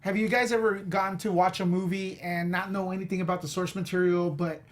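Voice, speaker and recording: A man's voice: sits high, flat delivery, a little fast. A man speaking as a panelist on a film show, sharing opinions in casual conversation.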